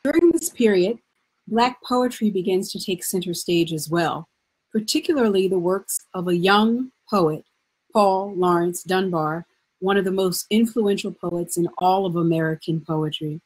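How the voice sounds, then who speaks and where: A woman's voice: fairly low pitch, a little slow, a little mellow, slightly rough, low-quality recording. A woman presenting and narrating from a prepared script. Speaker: narrator and presenter of a literary event.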